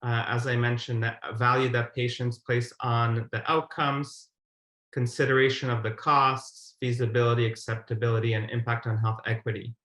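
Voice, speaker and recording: A man in his thirties gives a prepared webinar presentation in a formal, measured way over a video call. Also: medium pitch, flat delivery, a bit bright. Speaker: guideline methodologist, webinar presenter. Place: indoor room on a video call. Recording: roomy, echoing webcam audio.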